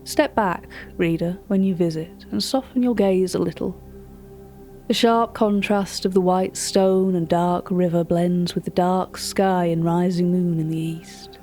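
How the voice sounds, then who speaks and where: An actress playing a chatty, wry British gallery writer, telling a story directly to a listener in audio drama narration. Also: female voice, on the low side, warm tone, natural voice. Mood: hushed, ominous.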